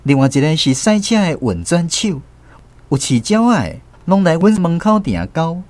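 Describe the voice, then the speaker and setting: A man's voice: fairly high pitch, big pitch swings, normal speed, a little mellow, no room sound. An actor with a Taiwanese accent narrating a radio drama as a man fondly recalling his rural boyhood. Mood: reflective storytelling. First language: Taiwanese Hokkien. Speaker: narrator telling his childhood story.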